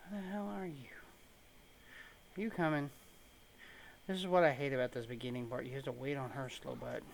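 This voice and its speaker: A man's voice: mid-range voice, steady tone, normal speed, gravelly, a little hiss or hum. A man giving casual, sarcastic let's play commentary, grumbling impatiently as he plays a video game. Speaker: gaming video creator playing and commenting.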